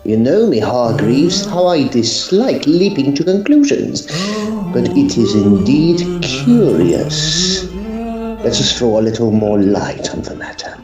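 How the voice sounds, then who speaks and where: A man playing a measured Edwardian occult detective, dry and understated, in a tongue-in-cheek audio drama. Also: on the high side, big pitch swings, echoey room, over music. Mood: dry, curious.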